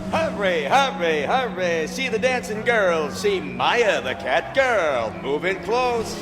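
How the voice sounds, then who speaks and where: A man's voice: sits high, sing-song, slow, over music, exaggerated voice. A man playing a sing-song carnival sideshow barker in a radio drama, calling out to lure a crowd. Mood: luring. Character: sing-song male sideshow barker hawking a dancing girl.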